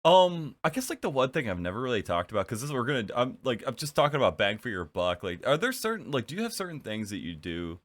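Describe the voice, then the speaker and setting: A man's voice: mid-range voice, very animated, fast, few pauses, a bit bright. A young man with a stuffed-up nose hosting a casual chat, rambling with lots of fillers and giggles. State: stuffed up from allergies. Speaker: podcast host.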